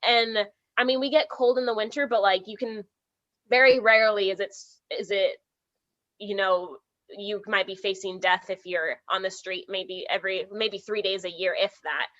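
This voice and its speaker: A Canadian woman, a filmmaker guest on a podcast call, talking in an animated way, laughing often and saying "like" a lot while hot and sweating. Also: medium pitch, normal speed, muffled recording. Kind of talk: casual remote interview chat.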